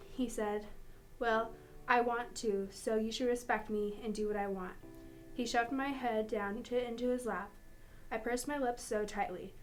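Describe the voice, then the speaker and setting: A woman's voice: on the high side, low-quality recording. A young adult woman, a student, reading out a personal account. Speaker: student reader.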